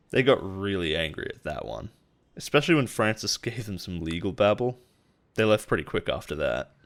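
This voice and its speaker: An actor playing a possessive male boss in an intimate roleplay audio drama, coaxing and quietly menacing. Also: sits low, very animated, moderate pace. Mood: agitated ranting. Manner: soft-spoken coaxing, chuckles and sighs, quietly menacing.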